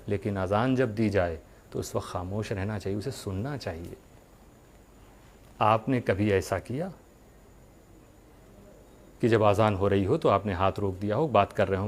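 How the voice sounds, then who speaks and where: A man giving a religious sermon, speaking earnestly to his followers with rhetorical questions and deliberate pauses. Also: fairly low pitch, darkish tone, slight background noise.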